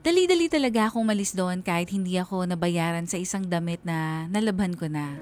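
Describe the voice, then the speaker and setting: A woman's voice: on the low side, expressive, a little fast, darkish tone, soft background music, close to an everyday voice. A woman playing an honest, hurt laundrywoman, narrating her story earnestly in a radio drama.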